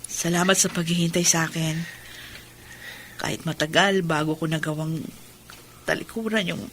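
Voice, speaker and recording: A woman's voice: low voice, fairly even intonation, moderate pace, a bit bright, a little hiss or hum. An actress playing a tender, emotionally wounded young woman in a sentimental radio drama, speaking earnestly and with feeling. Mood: earnest, grateful. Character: tender, wounded young bride Julie, healing from heartbreak.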